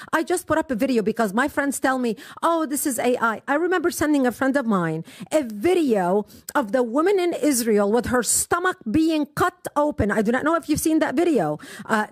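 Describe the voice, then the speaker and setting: A middle-aged woman from Lebanon with a high, lively voice that swoops up and down as she tells her story. She speaks with impassioned emphasis, recorded close and dry with no room sound. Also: no echo. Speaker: guest. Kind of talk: personal testimony in an interview.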